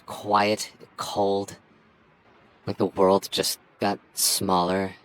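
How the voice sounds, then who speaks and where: A man's voice: low-pitched, monotone, extremely slow, a bit bright, no room sound, exaggerated voice. A man playing a stubborn young childhood friend confessing his love in an intimate roleplay, speaking earnestly with stammers and false starts. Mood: tender, vulnerable. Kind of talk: ASMR roleplay, intimate one-sided dialogue.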